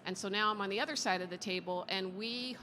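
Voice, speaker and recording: Woman, founder-investor panelist, upbeat, bright tone, reverberant large hall. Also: mid-range voice, fast, slight background noise. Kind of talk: panel discussion. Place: conference stage in a large hall. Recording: long hall reverb, faint ambience.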